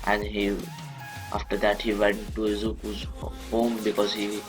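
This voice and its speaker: A young Indian man narrates a fan story in English, his second language after Marathi. His voice sits low, and he stops often to restart and correct himself. Soft music plays under his voice. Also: monotone. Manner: halting, many restarts and corrections. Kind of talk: retelling a fan story in plain speech.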